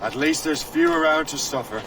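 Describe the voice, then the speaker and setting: A man's voice: on the high side, moderate pace, noisy background, close to an everyday voice. An actor playing a brooding young male assassin turned sea captain in a dramatic video game, earnest and hard-edged. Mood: grave, businesslike.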